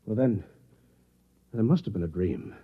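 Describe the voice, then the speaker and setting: A husky American office worker in a vintage radio play, anxious and baffled. His pitch swings widely as he hesitates and exclaims. The recording is narrow and muffled. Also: lively intonation, moderate pace. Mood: troubled. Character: anxious, bewildered ordinary office accountant and husband. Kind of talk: 1950s radio drama acting.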